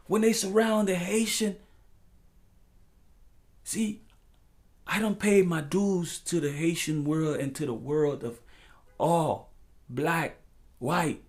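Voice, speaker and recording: A man's voice: sits high, vocal fry, darkish tone. A man from the United States delivering an impassioned, freestyle monologue as a talk show host, preaching in profane street slang with emphatic repetition and loose rhymes.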